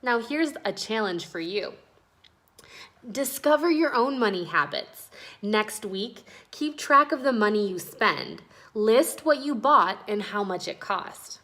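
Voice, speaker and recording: Woman reading as a helpful, slow-spoken uncle. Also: mid-range voice, animated, fairly bright tone. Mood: encouraging. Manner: instructive, encouraging. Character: helpful, wise uncle teaching children about money.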